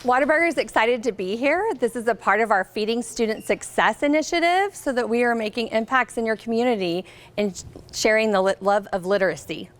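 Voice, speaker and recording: An American woman reading a picture book aloud to children as a guest reader, expressively, pausing to ask her listeners questions. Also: fairly high pitch. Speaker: guest reader, company representative.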